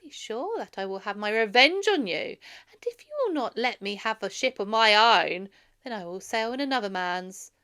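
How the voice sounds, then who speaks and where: A woman's voice: medium pitch, expressive, normal speed, sharp tone, smooth. A woman voicing a proud, defiant young prince who declaims earnestly in a storybook reading. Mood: indignant, defiant.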